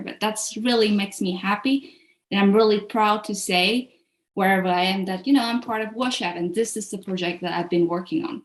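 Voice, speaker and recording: A woman from Vietnam, speaking candidly and earnestly as a webcast host in casual interview talk. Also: medium pitch. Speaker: culinary association staff member and webcast host. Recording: echoey room sound.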